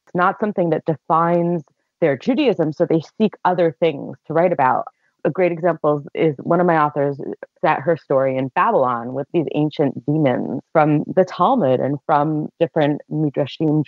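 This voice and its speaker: A woman's voice: sits low, no room sound. A woman with an American accent, a literary agent, speaking earnestly and explaining on a podcast panel. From United States. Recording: dry, phone-like line.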